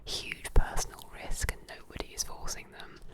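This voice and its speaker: A woman in a soft, breathy, unvoiced whisper, giving gentle, chatty, admiring ASMR commentary at an unhurried pace. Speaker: ASMR host. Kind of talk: whispered ASMR commentary while leafing through an art book.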